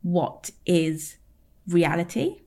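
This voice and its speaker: A woman in her thirties, a podcast guest, speaking candidly and thinking aloud with frequent pauses and fillers. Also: on the low side, lively intonation, darkish tone. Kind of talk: casual interview chat.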